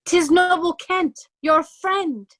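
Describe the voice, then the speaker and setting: Woman as high-voiced anxious young noble. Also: slow pace, fairly bright tone, exaggerated voice. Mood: concerned. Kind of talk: dramatic Shakespearean play reading.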